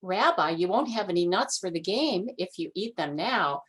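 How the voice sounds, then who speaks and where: A woman's voice: lowish voice, lively intonation, normal speed, breathy edge. A woman voicing a kind, fussy old grandmother who talks in a sing-song, chatty way in a children's story. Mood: fussing.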